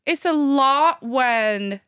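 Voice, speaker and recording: Chatty woman, smooth voice. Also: high voice, very slow, no room sound, studio quality. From Manchester, England. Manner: animated, chatty, hesitant with fillers. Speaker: guest. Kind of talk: casual podcast interview.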